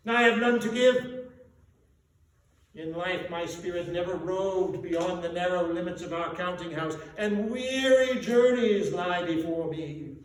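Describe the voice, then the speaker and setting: A man voicing the mournful, doomed ghost of a dead miser in a dramatic storybook reading, moaning and groaning in drawn-out theatrical declamation. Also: high voice. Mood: anguished. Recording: echoey room, distant mic, faint noise.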